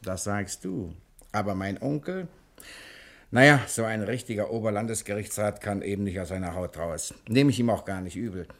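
An actor playing a genial, quick-witted fugitive rogue in a radio comedy drama, jaunty, chatty and bossy. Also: male voice, on the low side, expressive. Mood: easygoing, wry.

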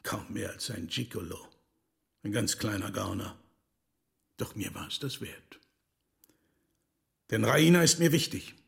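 An actor playing a menacing, possessive rich father in a dubbed 1950s thriller, speaking in a measured, condescending way. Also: lowish voice, lively intonation, gravelly, a bit bright, full character voice. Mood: contemptuous.

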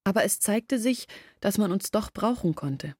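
An actress playing an earnest village woman who explains and confesses in a measured literary radio drama monologue. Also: mid-range voice, animated, a little mellow, dry recording, close to an everyday voice. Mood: earnest explaining.

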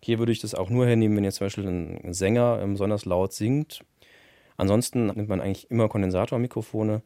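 A man's voice: lowish voice, fairly even intonation, fairly quick, dark tone, no echo, studio quality. A man explaining technical things casually as a sound engineer, in a colloquial way with tag questions.

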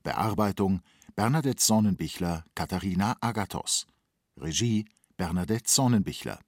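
A man reading scripted radio play credits as an announcer, measured and formal. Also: lowish voice, lively intonation, no echo. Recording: dry studio sound.

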